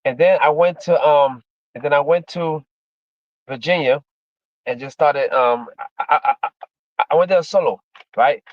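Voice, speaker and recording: A man's voice: fairly high pitch, measured pace, pauses often, no echo, dull audio. A man in his fifties from Miami, chatting casually to his livestream audience in a rambling, repetitive way, full of "man" and "you know". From Miami, Florida, USA. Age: 50s. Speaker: livestreamer, former MMA fighter.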